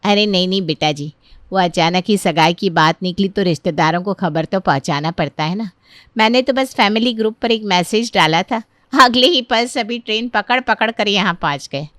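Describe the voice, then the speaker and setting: A woman playing an anxious, gentle middle-aged mother of a bride in a family story, apologetic in manner. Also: mid-range voice, moderate pace, dry recording, clean studio sound, natural voice. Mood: apologetic, hospitable.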